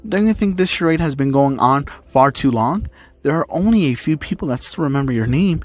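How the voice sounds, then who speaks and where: Man putting on a high-pitched little female fairy creature. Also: expressive, fairly quick, dry recording. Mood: insistent. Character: small female bug-like magical creature, insistent and cheerful.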